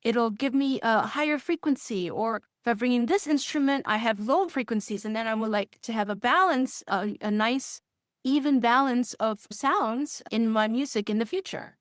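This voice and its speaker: A woman's voice: a little high, normal speed, no echo, clean studio sound. A woman answering interview questions conversationally, with an enthusiastic manner and frequent chuckles as she explains things. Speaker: music course student giving a testimonial.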